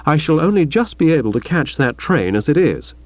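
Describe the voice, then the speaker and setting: A chummy, wheedling young gentleman, as a male narrator does him, his tone bouncing lively as he flatters and pleads. Dry and close, but with a dull, muffled sound. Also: a little high, fairly quick, no echo. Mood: coaxing.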